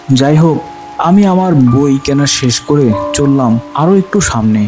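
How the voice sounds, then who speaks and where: A man's voice: mid-range voice, close to an everyday voice. A man playing a young university student who tells his ghostly tale in dramatic, suspenseful first-person narration. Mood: scene-setting. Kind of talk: scripted first-person horror narration with acted dialogue.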